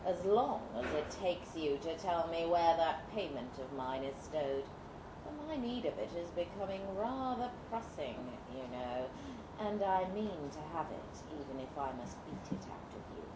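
A suave Victorian lord performed by a woman, coolly cruel and mocking in formal, old-fashioned phrases. The pitch sits low, and the live story reading rings in a roomy, noisy space. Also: expressive, unhurried. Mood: coolly menacing. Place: indoor live literary event.

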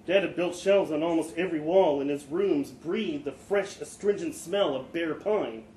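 Male live storyteller, slightly high voice, expressive delivery, roomy venue acoustic with faint noise. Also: moderate pace. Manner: expressive, acts out dialogue. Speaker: reader of a short story. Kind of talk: dramatic live short story reading. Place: live literary event in an indoor venue. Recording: echoey room, live event, background noise.

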